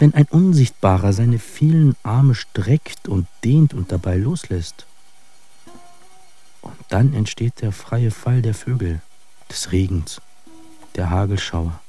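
Slow, very dark-voiced brooding dreamer. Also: male voice, fairly low pitch, breathy edge, full character voice. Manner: brooding, deliberate, questioning. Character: brooding wondering male dreamer, doubting his own senses. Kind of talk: poetic radio drama monologue. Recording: faint music under the voice. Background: faint music.

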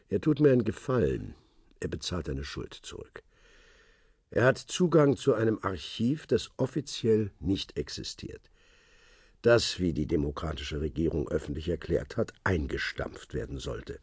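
An actor playing a cryptic old retired secret-service man who talks in measured hints. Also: low-pitched, very animated, a little mellow, husky, no echo, studio quality, exaggerated voice. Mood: calm. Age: older. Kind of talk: radio play acting.

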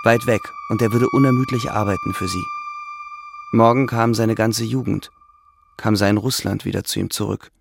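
A man's voice: fairly low pitch, wildly swooping pitch, normal speed, music in the background. A man reading literary narration as the narrator of a drama, with ambient sound behind him. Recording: clear background ambience under voice.

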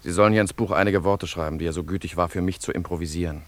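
An actor playing a pompous, earnest and easily affronted young admirer in a theatrical, florid German radio drama style. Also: male voice, low-pitched, fairly even intonation, racing, no room sound, natural voice. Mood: condescending. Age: young adult.

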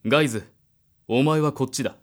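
A man's voice: mid-range voice, brisk, lightly acted. An actor playing a contemptuous man in authority in an audio drama, with a mocking, commanding manner. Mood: cold, mocking. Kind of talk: Japanese audio drama acting.

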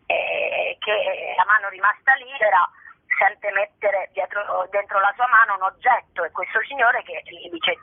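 A woman's voice: mid-range voice, unhurried, gravelly, dry recording. A woman chatting spontaneously in an interview as an actress, digressing and searching for words, speaking over the phone. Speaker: actress and artistic director interviewed about her show. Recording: thin, band-limited phone line.

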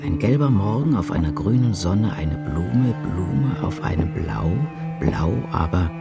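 A man reciting poetry in a measured, incantatory, deliberate way for a radio drama. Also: deep voice, lively intonation, vocal fry. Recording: music behind the voice. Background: loud music.

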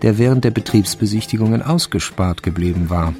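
A man narrating a radio crime drama as a measured, literary storyteller. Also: on the low side, over music, natural voice.

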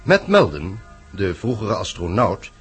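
A man's voice: fairly low pitch, big pitch swings, unhurried, a bit bright, slightly rough, exaggerated voice. A man reading scripted narration as the storyteller of a radio drama. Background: music.

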